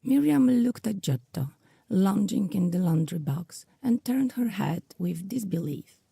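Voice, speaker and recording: Heavy vocal fry, mellow tone, female narrator, husky, scripted storytelling. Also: medium pitch, expressive, a little slow, dry recording. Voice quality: husky, very creaky.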